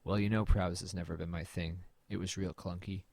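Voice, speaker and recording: A man's voice: deep voice, level tone, a little fast, gravelly, darkish tone, slight background noise. A man playing a weary, haunted man who narrates his own horror story in a glum, understated way in an audio drama. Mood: somber, resigned. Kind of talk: first-person scripted audio drama narration and dialogue.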